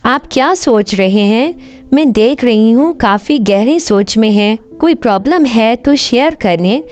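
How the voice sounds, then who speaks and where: औरत के अभिनय में ममता भरी माँ, दबी-सी रिकॉर्डिंग. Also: female voice, on the high side, lively intonation, measured pace, darkish tone, highs cut off, faint music behind. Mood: reassuring. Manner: tender, encouraging. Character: gentle, loving mother reassuring and advising her family. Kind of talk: children's moral story acting.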